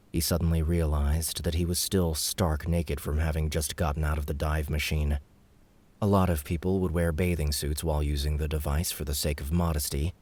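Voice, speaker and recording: A man narrating an audiobook in a measured, even storytelling voice. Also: very deep voice.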